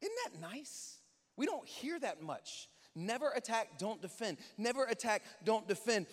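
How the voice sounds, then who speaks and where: Male pastor, high voice, informal but emphatic sermon delivery, rhetorical questions, long hall reverb. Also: sing-song, a little slow. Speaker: pastor preaching to a congregation. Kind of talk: contemporary conversational sermon. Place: large church hall, speaking to an audience.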